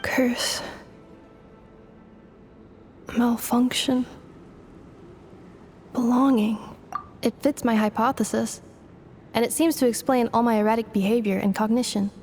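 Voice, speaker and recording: A fairly high-voiced, earnest robot girl from a video game, acted by a woman. The phrasing is formal and measured, with a clinical care in each sentence. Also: fairly even intonation, darkish tone, a little hiss or hum, lightly acted. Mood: analytical. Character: young female robot, earnest, searching for belonging.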